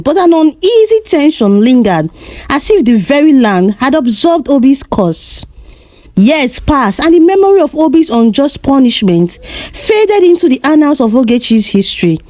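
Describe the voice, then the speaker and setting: Female storyteller for scripted folktale narration, measured and solemn, higher register with animated intonation. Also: no room sound.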